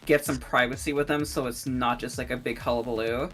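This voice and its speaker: Give me a woman with a low, sharp voice, blunt and crude in casual chat. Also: fairly even intonation, soft background music. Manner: blunt, crude, quick asides. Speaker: streamer guest.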